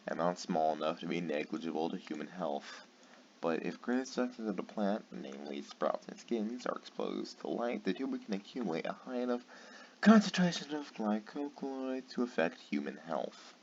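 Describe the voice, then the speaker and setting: A tired man reading aloud late at night, stumbling over words and breaking off for sarcastic, swearing asides. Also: low voice. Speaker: channel host. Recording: dull lo-fi with faint noise.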